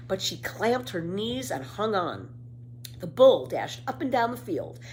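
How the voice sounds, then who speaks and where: A woman reading a children's story aloud with dramatic, emphatic, expressive narration. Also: medium pitch. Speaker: storybook reader. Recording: faint background noise.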